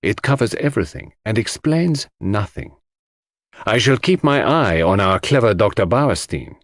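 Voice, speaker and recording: A man voicing a shrewd, courteous, theatrical detective in an audiobook, speaking formally and deliberately. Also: lowish voice, lively intonation, no room sound, clean studio sound, natural voice. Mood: shrewd, skeptical. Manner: formal, grave, deliberate, questioning. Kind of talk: audiobook character dialogue.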